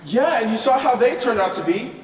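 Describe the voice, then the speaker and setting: A young man acting a rough-edged, insecure drifter in a high school stage play. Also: animated, brisk. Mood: uneasy. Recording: echoey hall, distant mic, faint noise.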